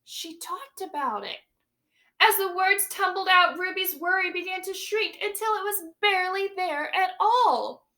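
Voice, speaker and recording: A woman's voice: extremely high pitch, measured pace, sharp tone. A woman teacher reading a picture book aloud to young children, stopping to ask them questions and explain words.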